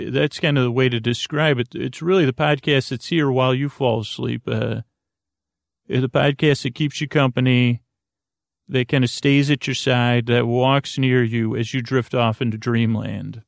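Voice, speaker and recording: Male bedtime podcast host, mid-pitched and creaky, meandering and self-interrupting delivery, recorded dry. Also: fairly even intonation, moderate pace, no echo. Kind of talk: rambling bedtime podcast monologue.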